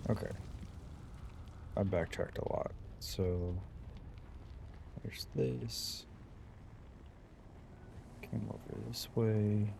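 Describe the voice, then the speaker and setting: A man's voice: fairly low pitch, very slow, creaky, pauses often, noisy background. A man doing casual live game commentary, muttering short, fragmented remarks to himself as he plays. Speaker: game streamer.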